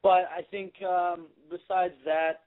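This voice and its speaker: Raspy-voiced man singer on a phone line. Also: sits high, level tone, takes it slowly. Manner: easygoing, laughs at himself. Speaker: band singer, guest. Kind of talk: casual interview chat. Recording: tinny phone-line sound.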